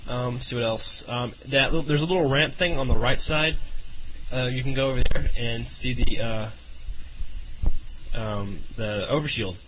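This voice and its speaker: A man co-hosting a casual gaming podcast, talking in a relaxed, hesitant way with many fillers. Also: mid-range voice, normal speed, a little hiss or hum, poor audio. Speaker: podcast co-host.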